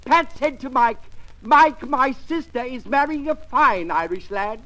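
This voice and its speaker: Cheery, pompous male gag-teller for radio comedy; shrill, forceful, milks every punchline. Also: exaggerated voice. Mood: jaunty, pompous. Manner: pompous, jaunty, drawn-out punchlines. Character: pompous, cheery male music-hall comedian with corny jokes. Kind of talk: 1940s radio comedy acting.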